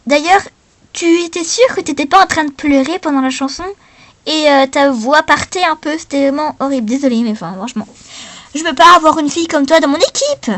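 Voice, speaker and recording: A female performer playing presenter and coaches in an improvised talent-show parody, speaking in a hesitant, halting way. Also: high-pitched, a bit bright. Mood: blunt, dismissive.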